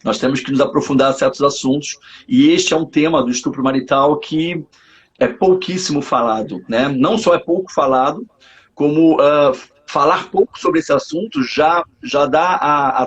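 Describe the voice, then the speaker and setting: A man, hoarse, speaking as a psychologist guest in a casual live interview, hesitant and full of restarts. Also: on the high side, normal speed, highs cut off. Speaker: psychologist and sex therapist guest.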